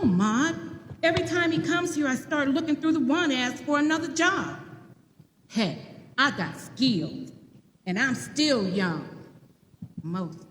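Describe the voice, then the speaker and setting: A fretful, gossipy receptionist acted by a woman in a live radio play. She is high and theatrical in pitch, drawing words out, in an echoey hall. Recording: echoey reverberant hall, faint noise.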